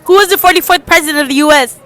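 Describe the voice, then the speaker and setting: A teenage girl with a high-pitched, bright voice runs a casual street interview. She puts short questions to people in an informal way, with some crowd chatter behind her. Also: rapid-fire. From United States, Texas. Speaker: host asking street quiz questions. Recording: outdoor vlog with people chattering around. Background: faint crowd chatter.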